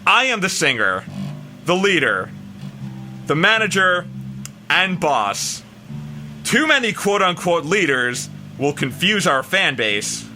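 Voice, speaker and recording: A man's voice: high-pitched, big pitch swings, slow pace, pauses often, pushed and bright, a little hiss or hum, pitched above the performer's own voice, heavily acted. A man voicing a pompous, demanding rock singer in a grandiose, emphatic comic dramatic reading. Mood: bossy, stern. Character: pompous, demanding, self-important male rock singer writing an ad.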